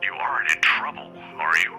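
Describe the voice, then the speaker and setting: An actor playing a mild-mannered, caring middle-aged male professor in a visual novel, gentle and earnest through a telephone filter. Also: extremely low pitch, very animated, brisk, vocal fry, full character voice. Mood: concerned, chiding. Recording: phone-filtered voice over music.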